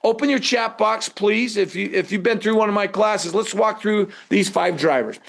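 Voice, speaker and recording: A man's voice: high voice, normal speed, gravelly. A man teaching a live webinar in a conversational way, prompting listeners with rhetorical questions. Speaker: webinar instructor.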